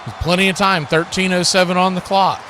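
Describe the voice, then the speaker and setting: An American man calling live football play-by-play in an excitable, colloquial radio style, repeating phrases as the action builds. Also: high voice, fairly quick. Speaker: play-by-play sports announcer. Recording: faint background noise.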